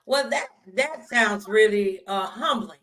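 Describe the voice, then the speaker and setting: A woman's voice: medium pitch, a little slow, a bit bright. A woman hosting a conversational faith talk interview, speaking in a folksy, emphatic way with preacherly asides. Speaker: talk show host.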